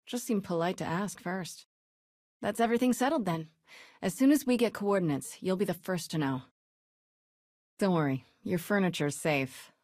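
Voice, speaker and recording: An actress playing a confident female sci-fi ally in a video game, brisk and lightly teasing. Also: lowish voice, a little mellow, no room sound, studio quality, close to an everyday voice. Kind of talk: video game cutscene acting.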